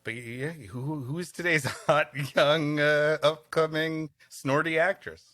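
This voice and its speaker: A man's voice: a little high, steady tone, slow, pushed and bright, no room sound, clean studio sound. A man co-hosting a podcast with casual comic banter and mock-argumentative quips. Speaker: podcast co-host.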